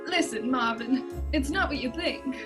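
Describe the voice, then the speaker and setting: A high-pitched, sultry femme fatale, played by a woman in a noir radio spoof. She sounds scheming, with music running underneath. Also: unhurried. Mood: cornered, cool. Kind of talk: radio drama comedy acting. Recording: music bed over video-call audio.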